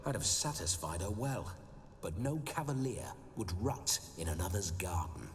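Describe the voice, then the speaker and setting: A man's voice: low-pitched, big pitch swings, moderate pace, slight background noise. An actor playing the boisterous, lecherous ghost of a nobleman in a video game, pompous and theatrical, with flowery archaic speech. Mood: bawdy, jovial. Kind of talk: video game character acting.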